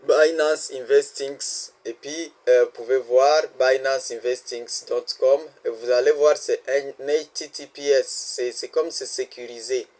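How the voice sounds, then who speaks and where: Man, mid pitch, casual walkthrough, stop-start delivery, earnest warnings that fade to near-murmurs. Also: steady tone, rough recording. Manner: halting, repeats words and restarts; earnest pleading, voice drops very soft at the end of pleas. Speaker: vlogger warning about online scams.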